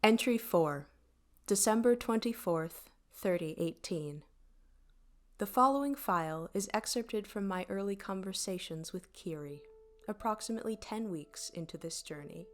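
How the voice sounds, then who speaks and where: A woman's voice: mid-range voice, animated, measured pace, natural voice. A woman playing an apologetic, inquisitive starship mind in an audio drama, speaking conversationally with small hesitations. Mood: measured, formal. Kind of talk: audio drama dialogue and log entries.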